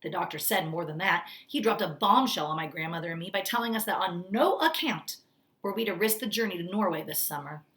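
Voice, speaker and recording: A woman narrating a children's story aloud as a teacher, in an expressive read-aloud style. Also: fairly low pitch, a little fast.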